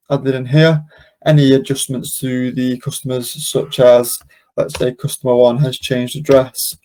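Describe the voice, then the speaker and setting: A man presenting an informal step-by-step software tutorial, explaining as he goes in an unscripted, hesitant way with frequent ums. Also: mid-range voice, poor audio. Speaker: presenter of a software demonstration webinar.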